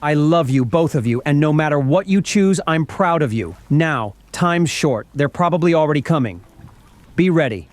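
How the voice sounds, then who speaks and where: An actor playing an earnest, regretful uncle in an audio drama, speaking solemnly and tenderly with deliberate pauses. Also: male voice, a little high, lively intonation, lightly acted. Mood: loving, urgent. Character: earnest, regretful uncle leaving a last message.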